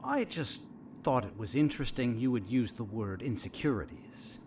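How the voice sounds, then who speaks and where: An actor playing a calm, probing and coolly manipulative therapist in an audio drama, speaking in a measured, clinical, needling way. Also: male voice, medium pitch, a little hiss or hum, lightly acted.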